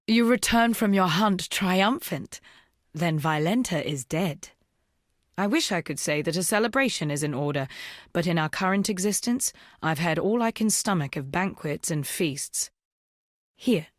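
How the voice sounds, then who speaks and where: Dark fantasy game role: solemn rebel woman, voiced by an actress, lowish pitch, stately old-fashioned phrasing, dry studio sound. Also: no echo, close to an everyday voice. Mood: weary, grim. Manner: formal, measured, archaic phrasing. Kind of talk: scripted video game character dialogue.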